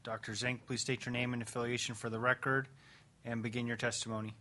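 Male committee chair, crisp bright voice, polite and procedural. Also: medium pitch, flat delivery, quick pace. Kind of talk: chairing a hearing.

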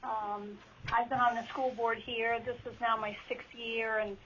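A woman's voice: on the high side, level tone, measured pace, fairly bright tone. A woman school board member giving testimony at a legislative hearing, plain and hesitant with many ums. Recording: lo-fi teleconference line with faint hiss.

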